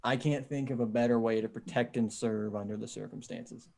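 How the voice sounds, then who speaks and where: A man playing a steady, decent small-town deputy and survivor in a casual dramatic table read over a video call. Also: mid-range voice, brisk, vocal fry, lightly acted. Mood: calm, reassuring. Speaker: actor in an amateur table read. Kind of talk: dramatic script reading.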